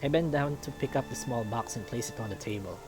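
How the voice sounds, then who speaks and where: A man narrates a visual novel as a scripted first-person story. His voice sits at an average male pitch. Music plays clearly underneath. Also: a little fast.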